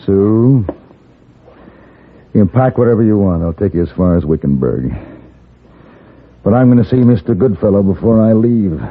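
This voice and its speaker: An actor playing a cool, wry gunfighter for hire in a 1950s radio Western, speaking in a measured, dry, understated way. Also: male voice, lowish voice, expressive. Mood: measured, resolved. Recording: muffled, thin 1950s radio broadcast.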